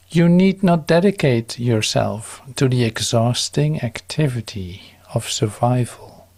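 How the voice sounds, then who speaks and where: Slow, mellow-voiced man reading devotionally. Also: mid-range voice. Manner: calm, measured, solemn, with drawn-out pauses. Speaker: devotional reader.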